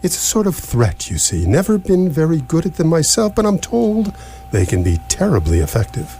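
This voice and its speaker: An actor in live radio theatre playing an ancient, absent-minded alien planet-builder, stately and portentous yet mildly apologetic. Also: male voice, fairly high pitch, huge pitch swings, moderate pace, mellow, slightly rough, heavily acted. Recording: noisy live stage recording with hum.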